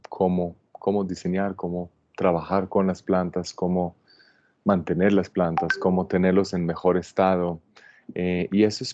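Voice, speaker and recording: A man in his forties from Israel with an Israeli accent, giving a calm, halting introduction to an online plant workshop, with many fillers. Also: deep voice, no echo. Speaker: landscape designer teaching a plant workshop. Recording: dull, dry computer-mic sound.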